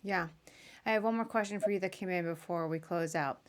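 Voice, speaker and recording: Woman, slightly low voice, podcast host, informal, conversational interviewer, chatty. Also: fairly quick.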